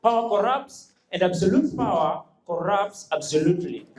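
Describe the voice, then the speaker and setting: A man's voice: high voice, lively intonation, normal speed. A male student debater makes an earnest, formal argument and quotes proverbs. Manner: earnest, quoting proverbs, pleading for time. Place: university debate hall. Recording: echoey debate hall.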